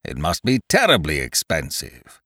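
A man reading an audiobook voices a fussy, stingy elderly duke, slow and gloomy in manner. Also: very deep voice, wildly swooping pitch, a bit bright, dry recording, clean studio sound, voice pushed down, heavily acted. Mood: disapproving. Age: older. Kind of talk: audiobook character voice.

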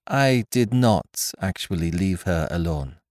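A man's voice: on the low side, animated, normal speed, dark tone, no echo, studio quality, voice pushed up, lightly acted. A man voicing a frightened young lady's maid, speaking reluctantly. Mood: pleading. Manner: pleading, reluctant.

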